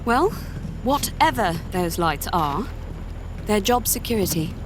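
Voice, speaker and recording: An actress playing a young, curious navigator in an audio drama, eager but a little nervous. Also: female voice, mid-range voice, very animated, takes it slowly. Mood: playful. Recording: loud ambient noise behind.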